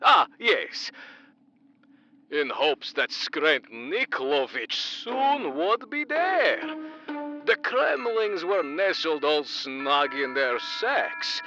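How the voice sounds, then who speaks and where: A man's voice: high-pitched, very animated, drawn-out words, music in the background, exaggerated voice. A man playing a doting alien monster father, theatrically reciting a holiday tale in an audio comedy, muffled and filtered. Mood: grand storytelling.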